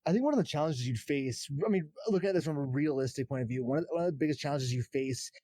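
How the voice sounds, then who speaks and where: A man chatting casually as a podcast co-host, often pausing to search for his words. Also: fairly high pitch, lively intonation, mellow, dry recording. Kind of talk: casual chat about horror games and films.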